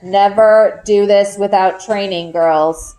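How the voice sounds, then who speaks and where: Woman voicing a soft, gentle storybook father. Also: mid-range voice, takes it slowly, soft background music, lightly acted, poor audio. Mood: calm, instructive. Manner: soft, calm, explanatory. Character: gentle, knowing father, a magical nature seeker. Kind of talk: children's book read-aloud.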